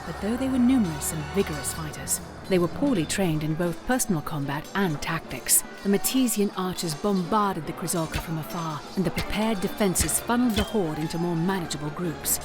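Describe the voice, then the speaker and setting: Low-voiced woman storyteller over music. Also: lively intonation, brisk, hardly any pauses. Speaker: narrator. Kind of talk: scripted dramatic storytelling narration. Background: dramatic background music.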